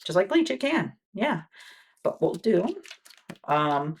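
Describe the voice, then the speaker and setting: A low-pitched woman with a husky, slightly gravelly voice plays a wound care nurse in an ASMR roleplay. She talks softly and patiently, explaining each step. Between lines she often drops to near-whispered "okay"s. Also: big pitch swings, slow. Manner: soft-spoken, patient explanations with frequent "okay"s.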